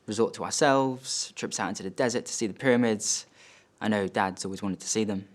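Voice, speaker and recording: A male actor plays a wealthy son, wounded and bitter, in a dramatic stage monologue. His voice sits on the low side. He tells his story in short, emphatic phrases with halting breaks between them. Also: natural voice. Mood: wounded, indignant. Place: studio.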